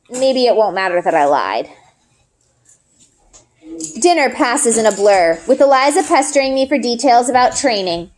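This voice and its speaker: Female read-aloud narrator for children's fiction. Higher register, highly varied intonation, expressive storytelling.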